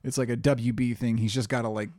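A man's voice: medium pitch, level tone, fast talker, a little mellow, dry recording, studio quality. A man co-hosting a podcast in casual chat, emphatic and heavily profane. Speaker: podcast co-host.

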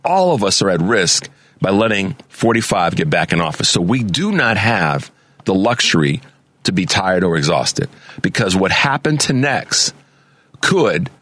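A man's voice: sits low, big pitch swings, measured pace, a bit bright, dry recording. A man hosting a talk radio show, delivering a fervent, preachy political monologue and repeating words as he gets worked up. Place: radio studio. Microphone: studio mic.

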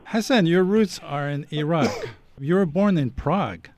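A man's voice: fairly high pitch, animated, unhurried, a little mellow, no room sound. Appreciative male interview host. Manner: appreciative, leads with praise. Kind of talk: radio interview questions.

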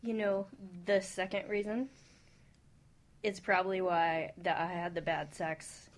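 A woman's voice: fairly low pitch, little pitch movement, a little slow. A woman on a radio talk show, chatting casually and candidly with exclamatory emphasis.

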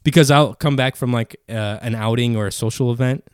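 A young man with a mid-register voice that sits in the middle of the range and blends into background noise. He talks in a relaxed, rambling way, swears freely and often trails off and restarts. The sound is close and dry. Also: medium pitch, brisk, clear, resonant tone, dry recording. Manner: laid-back, swears casually, trails off and restarts. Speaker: podcast co-host. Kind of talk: casual chat.

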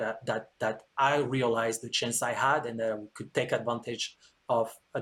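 A man's voice: medium pitch, a bit bright. French-accented man, earnest, hesitant fillers. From France. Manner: earnest, with frequent "uh" fillers and repeated words. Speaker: antenna engineer, podcast guest. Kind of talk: interview answers, explanatory.

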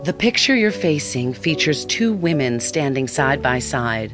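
A calm, helpful digital assistant guiding a user in an audio fiction piece. The delivery is polite, measured and precise, at a middle pitch, with clear music behind it. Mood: measured, descriptive. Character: calm, helpful AI seeing-eye app assistant.

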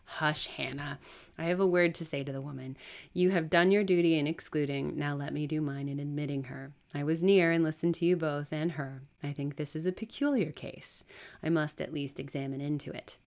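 A woman voicing a grave, commanding gentleman in a novel reading, formal and measured in manner. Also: low voice, vocal fry. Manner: formal, authoritative.

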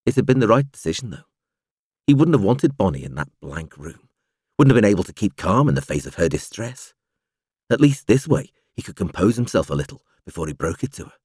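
A male narrator with a fairly low voice reads a novel aloud. His intonation is lively and moves widely as he shapes each phrase in a measured storytelling manner. The sound is dry and close, like a studio audiobook. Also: breathy edge, no room sound. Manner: expressive, measured storytelling delivery. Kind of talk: scripted literary narration.

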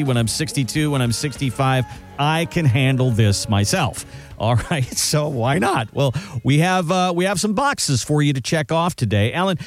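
Man hosting a radio finance show. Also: on the high side. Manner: steady, friendly interviewer, reads promos.

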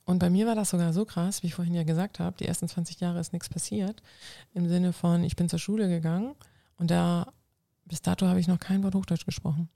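Gravelly woman, earnest. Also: on the low side, fairly even intonation, fairly quick, warm tone, dry recording. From North Frisia, northern Germany. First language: Low German. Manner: earnest, explains at length with restarts. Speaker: guest, coach and civil servant. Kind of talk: casual interview answers.

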